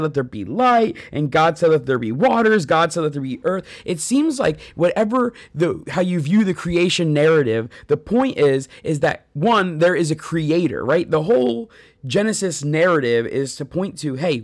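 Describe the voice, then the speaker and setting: A man's voice: on the high side, no echo, clean studio sound. A man in his thirties giving an earnest, conversational devotional talk on scripture, speaking straight to listeners and telling personal stories. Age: 30s. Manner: addresses listeners directly.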